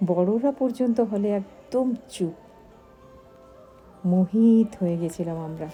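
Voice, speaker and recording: A woman narrating a travel vlog in voice-over, speaking in short, unhurried phrases. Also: medium pitch, mellow. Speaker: travel vlogger. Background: music.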